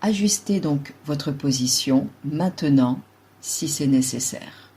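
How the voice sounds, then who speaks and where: Female yoga nidra guide. Low, soft-edged husky voice, unhurried calm delivery of measured meditation instructions. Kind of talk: slow guided relaxation meditation.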